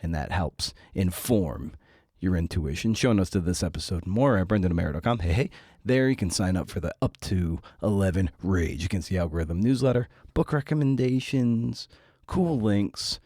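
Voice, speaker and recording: A man's voice: low voice, animated, normal speed, warm tone, no echo, clean studio sound. A middle-aged man hosting a podcast in a conversational style, with a wry, self-deprecating manner. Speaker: podcast host and interviewer. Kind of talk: conversational podcast intro and interview.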